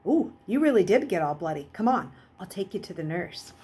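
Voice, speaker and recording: A woman's voice: medium pitch, big pitch swings, a little fast, warm tone, husky. A woman voicing a cocky, mocking teenage boy who leads his gang, brusque and offhand, in an audiobook. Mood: brash, dismissive. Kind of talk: audiobook character voice.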